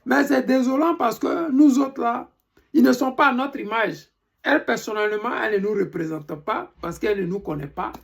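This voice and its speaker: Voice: mid-range voice, normal speed, vocal fry, slightly rough. An Ivorian speaker with an Ivorian French accent, delivering an indignant, emphatic political monologue to followers and hammering questions again and again. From Côte d'Ivoire. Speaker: political commentator. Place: living in Europe.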